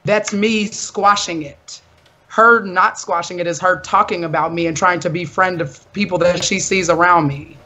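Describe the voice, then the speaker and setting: A young woman with a deep, loud voice, arguing firmly and defensively in a casual talk show. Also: fairly even intonation, moderate pace. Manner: firm, defensive, insistent. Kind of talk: heated casual argument.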